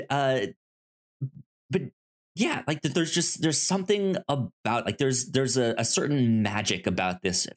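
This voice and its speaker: A podcast co-host chatting casually about movies in a halting way, often repeating sounds and the starts of words. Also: medium pitch.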